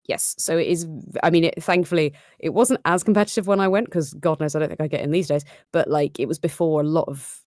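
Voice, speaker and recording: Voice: medium pitch, fairly even intonation, fairly quick, hardly any pauses, darkish tone, no room sound, clean studio sound. A guest on a live audio-maker chat stream, telling a story in a rambling way, full of fillers and restarts. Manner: rambling, self-correcting, with many fillers. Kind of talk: casual livestream chat.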